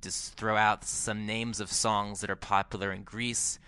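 A man's voice: fairly low pitch, flat delivery, a little slow, bright, forceful tone, smooth. An American man hosting a music podcast, talking casually as he introduces and interviews a guest. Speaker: podcast host, music journalist.

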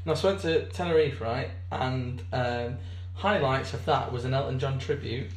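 Guy cohosting a chatty podcast, a bit hoarse, dry teasing tone, roomy echo. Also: mid-range voice, moderate pace. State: slightly hoarse. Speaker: podcast co-host. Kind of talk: casual banter.

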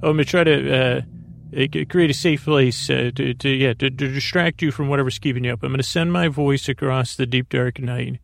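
A man with a creaky voice hosting a sleep podcast, rambling through a bedtime monologue with halting, stammered, repeated words. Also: medium pitch, steady tone, no echo, soft background music.

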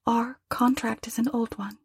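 A woman voicing a young woman who speaks softly and sorrowfully, gentle but firm, close to tears. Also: a little high, steady tone, moderate pace, slightly rough, no echo, voice pushed up. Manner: soft, tearful, pleading.

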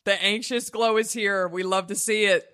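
A woman hosts an interview with a mid-pitched voice, encouraging and playful. The sound is dry and close. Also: fairly even intonation, normal speed, fairly bright tone, no room sound. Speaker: podcast host. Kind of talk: casual interview chat.

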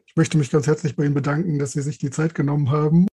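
A man's voice: fairly high pitch, flat delivery, very fast, warm tone, slightly rough. A man hosting a podcast, asking questions and making announcements. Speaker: podcast host. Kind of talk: podcast interviewing and scripted announcements.

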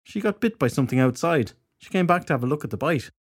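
A man's voice: a little high, animated, fast talker, breathy edge, no echo, clean studio sound, pitched above the performer's own voice. A man voicing a sceptical, plain-spoken ordinary man caught up in a fantasy audiobook story. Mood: matter-of-fact.

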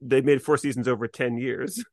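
A man's voice: medium pitch, steady tone, moderate pace, darkish tone, no room sound, clean studio sound. A man co-hosting a podcast in a casual, conversational discussion. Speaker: podcast co-host. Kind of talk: casual discussion, recapping TV episodes.

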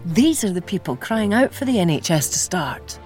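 A woman's voice: on the low side, big pitch swings, normal speed, over music. An actress playing an impassioned, insistent woman in a historical drama, emphatic and exasperated as she argues her case. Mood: pleading, pointed. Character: impassioned, insistent woman campaigning for public health. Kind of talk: acted historical drama dialogue.

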